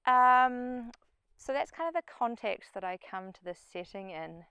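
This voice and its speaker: A woman's voice: fairly high pitch, expressive, unhurried, pushed and bright, smooth, no echo. A middle-aged woman with a New Zealand accent giving an informal short personal talk to a group. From New Zealand and Papua New Guinea. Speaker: speaker at a community event, farm sustainability coach.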